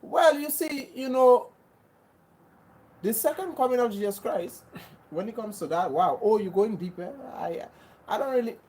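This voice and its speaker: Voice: mid-range voice, animated, measured pace, long pauses, darkish tone, husky, poor audio. A livestream host from Grenada, talking earnestly to viewers. Often repeats and restarts phrases and speaks in an insistent, preachy way. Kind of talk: casual livestream chat answering viewers.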